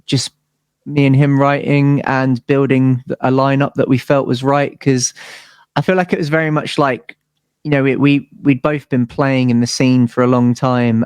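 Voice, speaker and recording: A British man speaks casually as an interview guest, in a slightly low voice. He rambles informally through his stories like a musician among peers. The sound is dry, with very little room to it. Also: smooth, no room sound. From United Kingdom.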